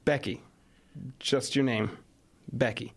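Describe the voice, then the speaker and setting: Actor as ancient Greek oracle, helpless, compelled to answer, mid-pitched, audio drama. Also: steady tone, slow, close to an everyday voice. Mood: helpless, resigned.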